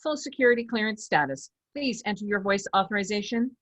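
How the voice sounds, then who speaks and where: A woman's voice: mid-range voice, animated, fairly quick, a bit bright. A woman voicing an impersonal, procedural computer security system in a screenplay table read. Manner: formal, procedural.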